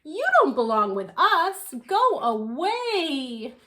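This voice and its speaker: A woman's voice: high voice, sing-song, sharp tone, slight background noise, voice pushed up, exaggerated voice. Woman as a haughty, vain storybook owl, drawling. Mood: disdainful. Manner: disdainful, drawn-out delivery.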